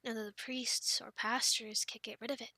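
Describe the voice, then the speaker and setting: Casting: actress as young female friend in ASMR roleplay, high-pitched, expressive storyteller, close dry sound. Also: normal speed, dry recording, exaggerated voice. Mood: hushed, solemn. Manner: expressive, teasing, breaking into breathy near-whispers. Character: playful young woman friend, pouty, defensive, telling campfire stories.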